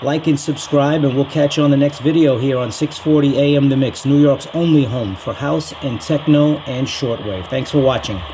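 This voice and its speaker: A man's voice: mid-range voice, moderate pace, hardly any pauses, background noise. An American man hosting a casual product review, talking through it informally as he goes. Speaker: host of a radio review channel.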